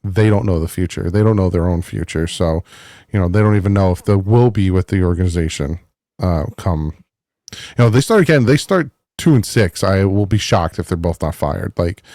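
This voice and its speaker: A man talking football in a casual podcast chat, animated and exasperated, slipping into mocking voices. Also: low voice, normal speed, no room sound, clean studio sound. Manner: animated, mocking the front office in put-on voices. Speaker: guest fan analyst.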